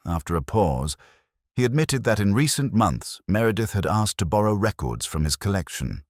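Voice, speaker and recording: A man reads a scripted first-person detective story in a measured, solemn, reflective manner, as a literary narrator. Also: a bass voice, dry recording, clean studio sound. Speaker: audiobook-style narrator.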